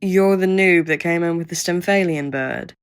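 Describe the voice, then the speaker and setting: Girl doing a grumpy sarcastic lady scientist for an audio rp, kinda low voice, blunt, bit of noise behind. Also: moderate pace, close to an everyday voice. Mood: grudging, dismissive. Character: snarky, impatient female scientist, grudgingly welcoming. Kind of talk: audio roleplay acting.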